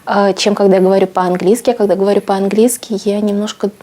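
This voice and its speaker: A woman's voice: medium pitch, steady tone, fast, warm tone. A young woman who grew up in Canada, speaking reflectively and carefully in a documentary interview.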